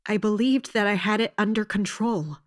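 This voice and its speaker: A woman's voice: medium pitch, natural voice. Formal, resolute mercenary captain played by a woman. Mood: controlled, defensive. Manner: formal, measured, respectful but defiant. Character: loyal, resolute female mercenary captain serving royalty. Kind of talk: audio fantasy drama acting.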